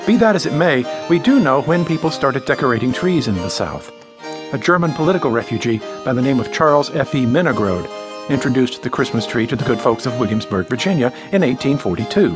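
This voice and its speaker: An actor as animated, playful storytelling father. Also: mid-range voice, close to an everyday voice. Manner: theatrical, teasing, expansive. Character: genial, playful middle-aged father telling holiday history. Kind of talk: radio drama acting, storytelling.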